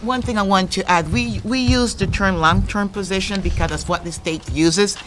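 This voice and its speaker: A man's voice: sits high, normal speed, very noisy. A man explaining budget decisions at a school board meeting, repeating himself and breaking off phrases. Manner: explanatory, repeats points, some broken phrasing. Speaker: school superintendent. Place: public meeting room.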